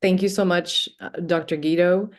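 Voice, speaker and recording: A woman's voice: lowish voice, fairly even intonation, normal speed. A woman moderating a panel, thanking speakers and introducing guests in an appreciative, slightly hesitant way.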